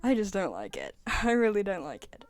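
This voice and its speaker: A woman's voice: medium pitch, wildly swooping pitch, brisk. A young woman with a British accent giving casual opinion commentary as a video host, often repeating herself for emphasis. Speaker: video host, game fan.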